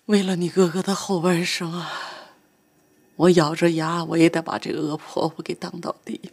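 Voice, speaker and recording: An actress playing a theatrical, sharp-tongued middle-aged mother, scolding and sarcastic. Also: fairly low pitch, flat delivery, normal speed, slightly rough. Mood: sulking. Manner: scolding, sarcastic, turns tearful. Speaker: mother of grown children. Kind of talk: TV drama acting.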